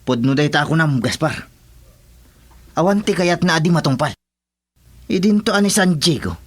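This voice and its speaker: A man's voice: a little high, lively intonation, moderate pace. A man acting a loyal, wary henchman sidekick in an Ilocano radio drama. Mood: wary, grumbling.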